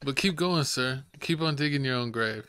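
Young male co-host, crisp bright tone, quick teasing comebacks. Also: medium pitch, dry recording, studio quality. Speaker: podcast co-host. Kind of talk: casual podcast banter. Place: small home studio, indoors.

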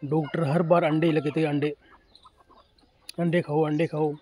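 A man's voice: fairly high pitch, level tone, normal speed, darkish tone. A man from Rajasthan, a poultry farmer talking casually and repetitively to viewers, recorded outdoors on his farm. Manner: plain, repetitive, talks straight to viewer. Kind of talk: casual explainer vlog. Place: outdoors on open farm with chickens. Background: chickens clucking, faint noise.

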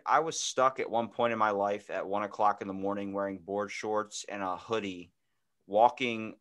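A man in his twenties chatting casually as a podcast host, telling stories in an animated, slangy way with frequent swearing. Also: lowish voice. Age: 20s. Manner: animated, profane, slangy storyteller. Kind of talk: casual chat, storytelling. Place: indoor room, podcast video call.

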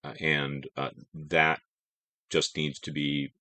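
A middle-aged man calmly explaining his house framing work outdoors on a build site, pausing often with "uh". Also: rumbling low, dry recording. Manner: measured, explanatory, frequent "uh" fillers. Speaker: homestead builder. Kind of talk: casual build narration.

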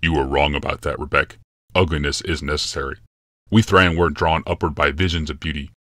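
A man playing a grave, deep-voiced emperor. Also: flat delivery, a bit bright, no room sound, studio quality. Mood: solemn. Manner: slow, solemn pronouncements. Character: grave, towering male emperor obsessed with struggle.

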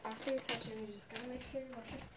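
Young teenage girl vlogging, high voice. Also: fairly even intonation, very fast. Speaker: vlogger and amateur animal rescuer. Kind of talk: casual home pet vlog. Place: indoors in a home living room and bedroom. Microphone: phone, at arm's length or propped nearby. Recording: noisy amateur phone recording.

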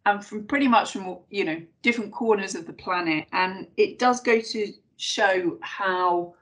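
A woman presenting on a video call, relaxed and reassuring. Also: mid-range voice, unhurried.

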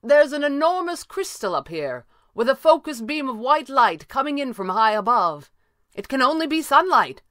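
A woman plays a male red panda adventurer in a storybook thriller. He is high and animated, mocking his foes with theatrical, taunting calls. The sound is dry and close. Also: normal speed, no echo, full character voice. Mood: exclaiming.

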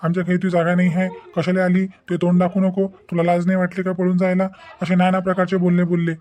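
A man voicing an aggrieved young husband in an animated family drama, halting and repetitive. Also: high-pitched, close to an everyday voice. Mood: hurt, reporting. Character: aggrieved young husband recounting insults from his in-laws.

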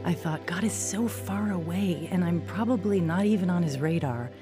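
A woman's voice: fairly low pitch, moderate pace, mellow, lightly acted. An actress playing a troubled young woman who earnestly tells her own story in an audio drama. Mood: reflective. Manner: earnest, confessional. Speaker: narrator and lead actor in audio drama. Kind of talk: first-person dramatized testimony narration. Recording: music bed under studio voice. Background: music.

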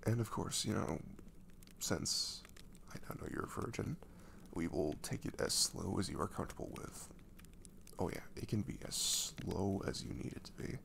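A man playing a gentle, caring boyfriend in an intimate ASMR roleplay, speaking softly and breathily, often half-whispering, with casual, hesitant reassurance. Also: low voice, expressive, moderate pace, creaky, a little hiss or hum, exaggerated voice. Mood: gentle, reassuring. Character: caring, gentle young boyfriend comforting his girlfriend.

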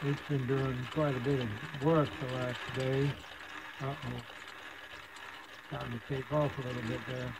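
A man casually narrating a hands-on repair demonstration at his workbench, with an unhurried, folksy manner. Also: mid-range voice, little pitch movement. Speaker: hobbyist host demonstrating radio repair. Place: indoor electronics workbench. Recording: clear background machine whir and noise.

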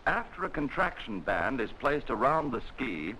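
A man narrating a 1940s military training film in a measured, instructional way, on an old film soundtrack. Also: mid-range voice, very animated, sharp tone, a little hiss or hum, rough recording.

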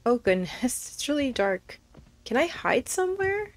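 A woman's voice: high-pitched, sing-song, measured pace. A woman giving casual, nervous commentary as she plays a horror game, thinking aloud. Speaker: gaming video host.

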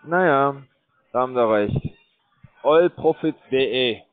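A man calling from a call center, rambling in a bored, crude, halting way. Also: mid-range voice, expressive, dry recording. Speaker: call center scam caller. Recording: dry tinny phone line.

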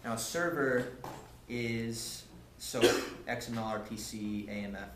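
A man's voice: mid-range voice, a little slow. A young man giving a casual technical talk at a meetup, explaining with many ums and restarts, recorded by a camera across a lecture room. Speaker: presenter. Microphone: far camera. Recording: echoey room, faint hiss, from camera.

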